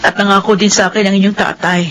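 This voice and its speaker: A woman's voice: medium pitch, level tone, quick pace, fairly bright tone, a little hiss or hum, close to an everyday voice. A woman playing a torn, loving mother. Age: middle-aged. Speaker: mother of grown children. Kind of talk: radio drama acting.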